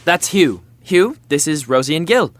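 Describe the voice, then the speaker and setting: A man's voice: fairly high pitch, expressive, normal speed, close to an everyday voice. A man playing a cocky, flippant young prince in a romantic comedy audio drama, talking casually and stumbling when put on the spot. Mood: friendly, casual. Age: young adult.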